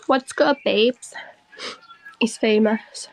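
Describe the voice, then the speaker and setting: A young woman with a Manchester accent hosting a live stream, chatting casually in a relaxed, amused way. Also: on the high side, extremely slow, creaky, a little hiss or hum, low-quality recording. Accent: Manchester English. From Manchester, England. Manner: relaxed, amused, often laughs.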